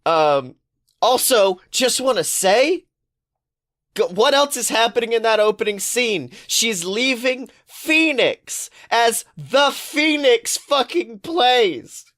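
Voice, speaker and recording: A man co-hosting a podcast in casual comedic chat, telling stories excitably and playfully, with some swearing. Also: very high voice, slow. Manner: excitable, playful storytelling with profanity. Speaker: podcast co-host.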